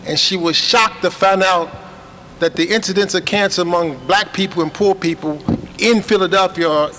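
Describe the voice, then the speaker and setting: A man's voice: high-pitched, fairly even intonation, normal speed, sharp tone. A man acting as emcee at a reception, giving a rambling, folksy introduction. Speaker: event emcee. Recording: echoey hall with faint background.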